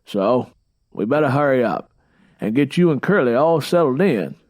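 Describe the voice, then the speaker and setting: A man's voice: mid-range voice, animated, moderate pace, a little mellow, lightly acted. Man voicing confident, stern Southern matriarch. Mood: confident, planning. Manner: confident, instructing.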